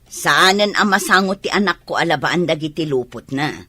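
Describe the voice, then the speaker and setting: Woman acting protective ilocano mom, rough husky voice, very lively pitch, audio drama. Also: moderate pace, dry recording, exaggerated voice. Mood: defensive, proud. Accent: Filipino, Ilocano. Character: protective, proud middle-aged mother, plain-spoken and hard-working.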